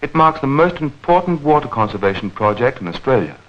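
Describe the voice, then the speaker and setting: A man narrating a 1940s educational newsreel in a measured, clearly enunciated, explanatory manner. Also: mid-range voice, sing-song. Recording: dull vintage 1940s film soundtrack.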